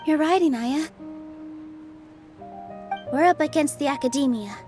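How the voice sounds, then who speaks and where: A high, girlish voice for a gentle young performer in a video game. She speaks earnestly and politely, encouraging others with modest determination. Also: unhurried, faint music behind. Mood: determined.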